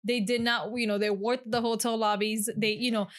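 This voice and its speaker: Chatty young woman, slightly high voice. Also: little pitch movement, moderate pace. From grew up in Hialeah, Florida. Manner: chatty storyteller with many fillers. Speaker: podcast guest. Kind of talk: casual chat.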